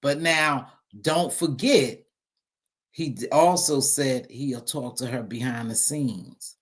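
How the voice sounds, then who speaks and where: Deep-voiced woman chatting bluntly with viewers. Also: slow, breathy edge. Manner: blunt, sassy, talks straight to viewers. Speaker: live stream host reading chat. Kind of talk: casual live stream chat.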